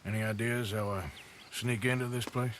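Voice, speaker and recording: An actor playing a rugged, sardonic outlaw with a gruff American drawl, laconic and dryly intimidating, in a video game cutscene. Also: male voice, on the low side, steady tone, moderate pace, a little hiss or hum, lightly acted. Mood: dry, casual. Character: rugged male outlaw, sardonic, coolly menacing hired gun. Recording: music behind the voice.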